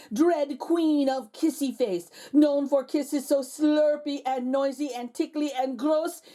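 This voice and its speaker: Female children's storyteller, high and mellow, slow, theatrical delivery with deliberate pauses. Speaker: children's read-aloud host. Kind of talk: expressive storybook narration.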